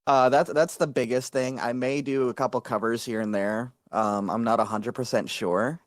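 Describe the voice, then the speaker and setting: A man's voice: medium pitch, fairly even intonation, moderate pace, no room sound, studio quality. A man, a VTuber guest, chatting casually in an interview with lots of fillers and restarts. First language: English. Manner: rambling, many fillers and restarts.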